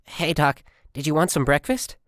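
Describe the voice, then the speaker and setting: A man's voice: fairly high pitch, lively intonation, moderate pace, fairly bright tone, dry recording, clean studio sound, voice pushed up. A man voicing a sweet, joking young army private with a casual manner in an audiobook. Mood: friendly.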